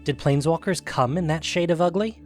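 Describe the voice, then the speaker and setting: A man's voice: fairly high pitch, a little fast, over loud music. A man narrating a scripted fantasy story as the narrator of an audio production.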